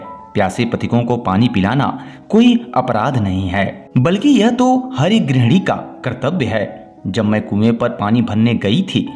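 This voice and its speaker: A man's voice: mid-range voice, darkish tone. Man as a clever, assured young daughter-in-law. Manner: polite, assured, explaining. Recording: music bed under the voice.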